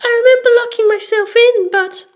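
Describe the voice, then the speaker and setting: A woman voicing a shy, apologetic young girl with a small, squeaky, hesitant voice in a story reading. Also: steady tone, normal speed. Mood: anxious.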